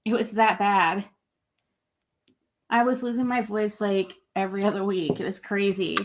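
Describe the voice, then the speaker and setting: A woman's voice: medium pitch, steady tone, noisy background. A woman chatting casually and at length on her livestream. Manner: slow, drawn-out, chatty.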